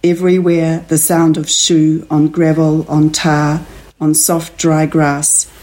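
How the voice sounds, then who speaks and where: A woman's voice: sits low, mellow, rough recording. A woman with a New Zealand accent, an author reading poetry and chatting as a guest. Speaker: author and poet, interview guest. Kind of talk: poetry reading and casual chat. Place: at home, indoors.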